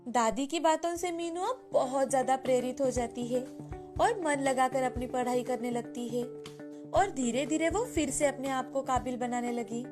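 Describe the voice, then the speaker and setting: A female storyteller narrates a scripted tale for children. Her voice sits high, and background music plays clearly behind her. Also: normal speed.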